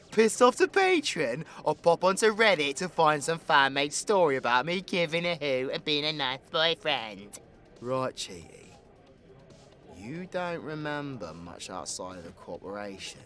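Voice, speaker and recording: A man's voice: medium pitch, a bit bright. A British man playing a cocky, foul-mouthed supervillain in an audio roleplay, with a crude, playful, conversational swagger. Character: cocky, foul-mouthed male supervillain, orphaned, teasing his drinking partner. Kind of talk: audio roleplay addressed to the listener.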